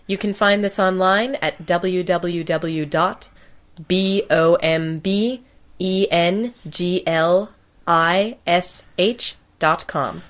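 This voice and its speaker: Woman, slightly low voice, podcast co-host, casual banter, dry joking manner. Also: slow. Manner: joking, sarcastic.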